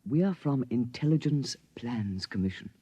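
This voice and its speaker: An actor playing a hesitant, formal intelligence officer in a 1950s radio drama, with clipped delivery. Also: male voice, mid-range voice, lively intonation, normal speed, warm tone, slightly rough, no room sound. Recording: dull vintage radio recording.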